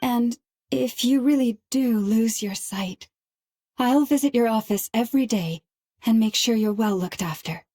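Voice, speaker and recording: A woman playing a gentle, protective young companion, speaking earnestly and carefully in a dramatic game cutscene. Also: on the high side, a little slow, dry recording, studio quality. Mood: concerned, caring.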